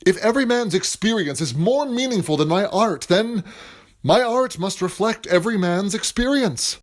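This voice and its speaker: A man's voice: sits high, expressive, moderate pace. A man playing a passionate, grandiloquent playwright in a period audio drama, speaking in a florid, declamatory and earnest way. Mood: eager, persuasive. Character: passionate, grandiloquent male playwright scheming for material. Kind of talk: theatrical period stage-play acting.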